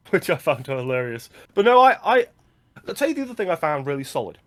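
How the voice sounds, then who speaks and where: Male podcast co-host, fairly high voice, animated and chatty. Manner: lively, quick asides, laughs often. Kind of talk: casual chat.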